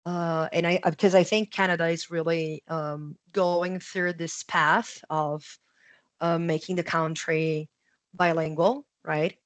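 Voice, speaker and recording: Soft-spoken woman host, hesitant and searching. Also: fairly low pitch, steady tone, measured pace, dry recording. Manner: hesitant, searching for words, supportive. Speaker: podcast host, immigrant to Nova Scotia. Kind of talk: casual podcast interview.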